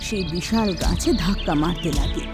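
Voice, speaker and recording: A woman narrating a children's cartoon story in an expressive storytelling way. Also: on the low side, fairly quick. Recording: children's music behind.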